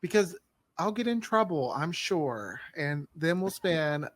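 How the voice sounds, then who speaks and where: Male co-host, higher register, dry close sound, self-deprecating casual banter. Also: expressive, slow pace, no echo.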